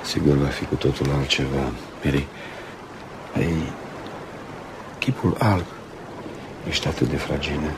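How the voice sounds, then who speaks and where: An actor playing a brooding doctor in love in a Romanian radio play, with a halting, emotional delivery. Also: male voice, extremely low pitch, background noise. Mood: tender, sorrowful. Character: brooding doctor in love, tender yet curt and bitter. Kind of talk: Romanian radio theatre acting.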